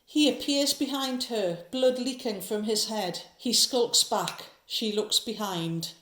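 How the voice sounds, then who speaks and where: A woman's voice: fairly high pitch, slow, a bit bright, roomy sound, low-quality recording. A woman narrating a horror audio drama from a script, describing each scene.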